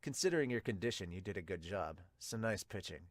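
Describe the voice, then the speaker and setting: A man voicing serious baseball characters in a manga dub, speaking in a hushed, measured manner. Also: low-pitched, expressive, no echo, pitched below the performer's own voice. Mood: calm, serious. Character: low, serious male baseball characters and narrator.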